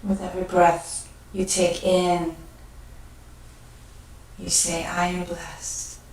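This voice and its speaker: A woman leading a guided meditation, speaking gently and unhurriedly in short phrases with pauses between them. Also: lowish voice, monotone. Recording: very echoey room, distant mic.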